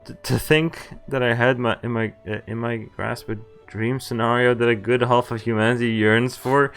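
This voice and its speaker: A man's voice: medium pitch, level tone, moderate pace, fairly bright tone. Man reading a game aloud over music. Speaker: game streamer reading a visual novel aloud. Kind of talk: casual livestream read-aloud with side comments.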